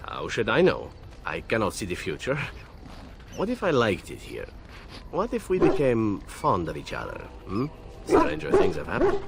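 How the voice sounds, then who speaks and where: Suave, very lilting assassin. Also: male voice, lowish voice, huge pitch swings, normal speed, background noise, full character voice. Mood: playful, coy. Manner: smooth, playful, courteous. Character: suave, flirtatious male elf assassin. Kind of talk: video game dialogue acting. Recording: loud game music behind.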